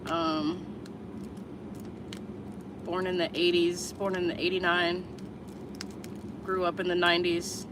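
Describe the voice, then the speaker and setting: A woman's voice: fairly low pitch, little pitch movement, slow pace, pauses often, background noise. A woman in her thirties from the Chicago area, chatting bluntly and candidly with viewers on a casual livestream and swearing freely. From Chicago area, USA. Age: 30s. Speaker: vlogger answering viewers live.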